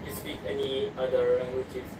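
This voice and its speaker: A man's voice: medium pitch, monotone, normal speed. Chatty man interviewing in a noisy street. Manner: friendly, chatty, mixes in bits of other languages. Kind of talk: casual street conversation. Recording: echoey, distant, loud street noise.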